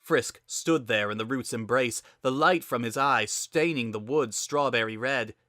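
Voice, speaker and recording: Bright, forceful male story narrator. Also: medium pitch, measured pace, no echo, clean studio sound. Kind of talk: dramatic scripted story narration.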